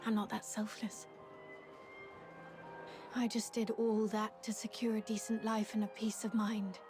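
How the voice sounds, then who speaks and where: An actress playing an earnest, resolute young legion officer in a video game, speaking in a solemn, heartfelt way. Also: female voice, mid-range voice, level tone, measured pace, over music, close to an everyday voice. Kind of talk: dramatic video game voice acting.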